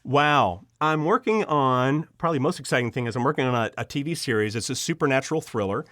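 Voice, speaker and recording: A man's voice: mid-range voice, expressive, quick pace, a bit bright, no room sound, studio quality. A man speaking as an interview guest, sharing personal testimony casually and earnestly, with emphatic repetition and frequent restarted sentences.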